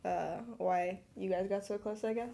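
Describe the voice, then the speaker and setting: A young woman hosting a casual chat, relaxed and steering the talk with questions. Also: mid-range voice, moderate pace, creaky. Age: young adult. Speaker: host.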